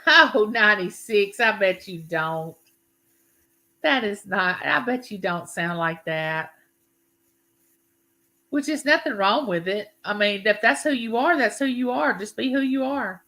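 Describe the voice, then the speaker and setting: Bright-voiced Southern woman in her fifties. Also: medium pitch, lively intonation. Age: 50s. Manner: chatty, folksy, drawn-out phrases. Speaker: YouTube livestream host. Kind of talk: casual live chat with viewers.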